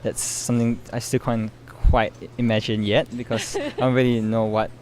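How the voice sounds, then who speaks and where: A man's voice: mid-range voice, flat delivery, normal speed, a little mellow. Hesitant young man over noticeable background noise. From Singapore. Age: young adult. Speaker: interview guest, medical student and competition winner. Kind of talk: informal interview answers. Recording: clear background noise, urban ambience.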